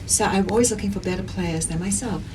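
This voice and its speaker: A woman's voice: mid-range voice, fast talker. A middle-aged woman from South Africa with a South African accent, talking earnestly and energetically as a guest in an interview. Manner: energetic, earnest, repeats herself while thinking. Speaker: guest, padel league founder. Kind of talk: conversational interview. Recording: distant mic with clear background noise.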